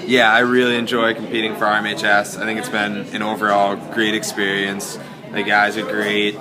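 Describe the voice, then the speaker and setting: A young man with a lowish voice is interviewed as a student athlete. He speaks casually in short, halting phrases. The crowd behind him is very loud. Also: monotone. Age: teenager or young adult. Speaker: high school swimmer.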